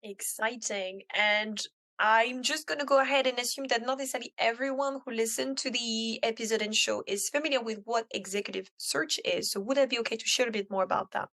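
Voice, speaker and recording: Woman host, upbeat and encouraging, slightly high voice. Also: brisk, fairly bright tone. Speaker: podcast host. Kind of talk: casual interview chat.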